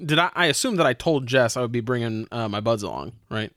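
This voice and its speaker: A man chats casually as a host and player in a tabletop game. His voice is medium in pitch and captured close and dry, with no room sound. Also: lively intonation, fast talker, fairly bright tone, no echo. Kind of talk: casual group chat during a tabletop game.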